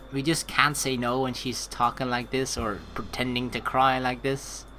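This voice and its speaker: A man doing game commentary as a let's player, reading narration and lines aloud in an animated, rambling, informal way. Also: medium pitch, unhurried, slight background noise.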